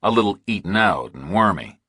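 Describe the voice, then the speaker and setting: Very deep, husky male thriller narrator. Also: big pitch swings, fairly quick, bright, forceful tone, no room sound, studio quality. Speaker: audiobook narrator.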